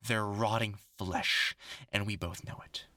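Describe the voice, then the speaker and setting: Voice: deep voice, fairly even intonation, a little slow, pushed and bright, no room sound, clean studio sound. An actor in a horror audio roleplay voicing a bitter, grandiose scientist bent on revenge, mocking, theatrical and menacing. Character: vengeful, gloating scientist turned superhuman, bitter and grandiose.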